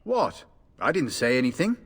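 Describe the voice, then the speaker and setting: A man's voice: mid-range voice, very animated, moderate pace, in a large hall. A man playing a jaunty, teasing young noble soldier in a video game.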